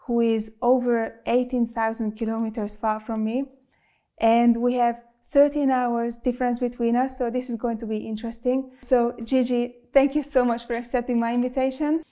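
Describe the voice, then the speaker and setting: A woman hosts an online interview, and her voice is fairly high but mellow. She asks friendly, encouraging questions and welcomes her guest warmly. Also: little pitch movement, moderate pace. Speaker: podcast host. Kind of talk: interview hosting. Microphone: video call setup.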